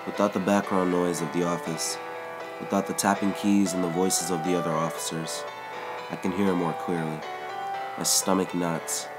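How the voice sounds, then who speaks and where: A man narrates a first-person story in a measured, even read. His voice sits very low and deep. A clear music bed plays beneath the whole narration. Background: background music.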